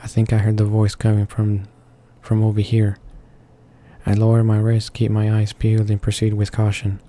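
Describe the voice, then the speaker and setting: A man's voice: on the low side, completely flat delivery, moderate pace, dark tone. A man reading a visual novel's narration aloud, stumbling a little over the words. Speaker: video game let's player. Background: faint music.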